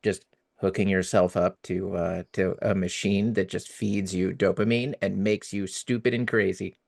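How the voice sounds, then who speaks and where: A man's voice: low-pitched, normal speed, vocal fry. A man on a podcast panel giving casual fan commentary in a slangy, playful way. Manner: animated, playfully incredulous, slangy.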